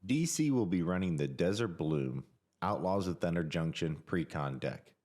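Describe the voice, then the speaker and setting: A man's voice: sits low, expressive, normal speed, darkish tone. A man giving scripted voice-over narration, evenly reading out card text and deck details.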